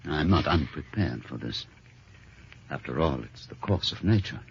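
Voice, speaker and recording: A very deep, measured voice a graying middle-aged husband in an old radio play. He speaks formally and theatrically, with bitter restraint, on a dull recording with some noise. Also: expressive. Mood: bitter, resigned. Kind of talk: old-time radio drama acting.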